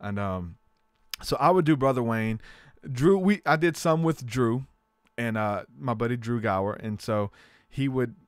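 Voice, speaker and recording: A man's voice: mid-range voice, unhurried, clean tone, dry recording, clean studio sound. A man hosting his podcast, looking back on episodes in a loose, rambling way with a voice tiring from long talk. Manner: rambling, loose, full of fillers. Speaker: podcast host. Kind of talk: casual solo podcast recap.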